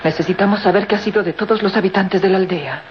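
An actress playing a professor's earnest daughter with dramatic delivery in an old radio drama. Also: female voice, medium pitch, fast talker, natural voice. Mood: concerned, urgent. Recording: muffled old radio drama recording, faint hiss.

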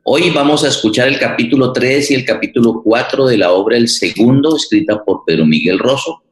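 A man with a Colombian accent hosting a conversational radio interview, speaking haltingly and repeating his phrases. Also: lowish voice, reverberant. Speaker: radio program host.

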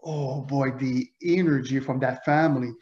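A man's voice: medium pitch, normal speed. A man whose first language is French, an author telling stories in a casual interview, full of analogies and fillers. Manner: animated storyteller, uses analogies, frequent fillers. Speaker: author and guest. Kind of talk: conversational interview. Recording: echoey, dull lo-fi audio.

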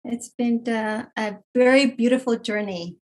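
Breathy, higher-pitched middle-aged woman from Colombia, gentle and hesitant, telling a personal story. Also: steady tone. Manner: soft-spoken, many fillers and pauses. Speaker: podcast guest. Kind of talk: casual personal testimony.

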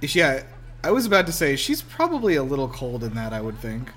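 A man's voice: medium pitch, wildly swooping pitch, fast, fairly bright tone. A man giving casual, wry film commentary as a podcast co-host, exclaiming and swearing freely.

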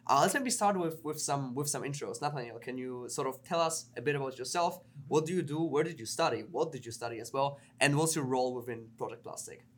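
A man's voice: mid-range voice, brisk, hardly any pauses. A man hosting a podcast interview, upbeat and enthusiastic, with lots of short affirmations. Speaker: podcast host.

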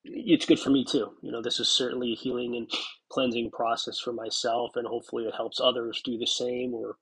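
A man's voice: medium pitch, little pitch movement, brisk, a bit bright, slightly rough, low-quality recording. A man in his forties hosting a solo podcast, talking in a reflective, rambling way with many fillers and restarts, a bit stuffy from a cold. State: stuffy from a cold, clears throat. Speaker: podcast host. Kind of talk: casual solo podcast monologue.

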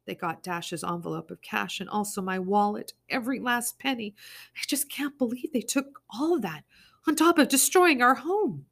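A frightened young mother's voice, lifted well above the reader's own and pushed louder, strained and close to tears. A woman reader voices her in an audiobook. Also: fairly high pitch, lively intonation, normal speed, gravelly, voice pushed up, full character voice. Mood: pleading.

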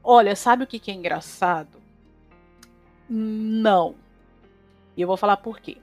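Animated Brazilian woman chef, opinionated. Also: mid-range voice, measured pace, faint music behind. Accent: Brazilian Portuguese. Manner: animated, opinionated, rising sharply when emphatic. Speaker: chef, guest. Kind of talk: casual conversation.

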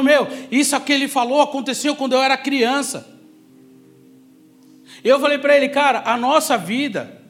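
Guy from são paulo preaching, really high voice, chatty but emphatic, tells testimonies and repeats key lines. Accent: Brazilian, São Paulo. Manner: conversational, emphatic, repeats key phrases for effect. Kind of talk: sermon with personal testimony.

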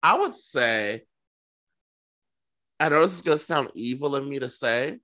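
A man's voice: medium pitch, animated, a little slow, pushed and bright. A man co-hosting a casual, gossipy chat show, talking in sassy, campy slang. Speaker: podcast co-host. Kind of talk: casual gossipy chat about reality television.

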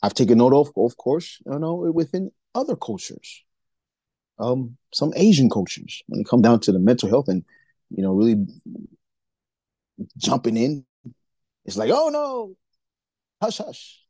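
A man from Nigeria co-hosting a faith-based podcast, talking in an animated, preachy way and acting out the voices he quotes. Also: mid-range voice, moderate pace, long pauses, husky, no echo. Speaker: podcast co-host.

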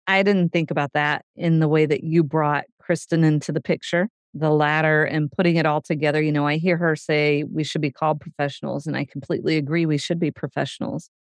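A woman's voice: low-pitched, steady tone, fairly quick, hardly any pauses, dry recording. A woman in her forties co-hosting a podcast, speaking emphatically about her profession and laughing easily. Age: 40s. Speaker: podcast co-host. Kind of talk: podcast conversation.

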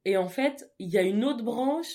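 A woman's voice: fairly high pitch, lively intonation, normal speed, mellow. A woman hosting a casual book chat, talking in a slangy, chatty way with many fillers and restarts. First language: French. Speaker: podcast host.

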